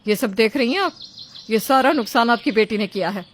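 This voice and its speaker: A woman playing a scolding, domineering middle-aged mother-in-law in a dramatized Hindi moral story, with sharp, accusing delivery. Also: a little high, lively intonation, brisk, slight background noise, lightly acted. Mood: complaining.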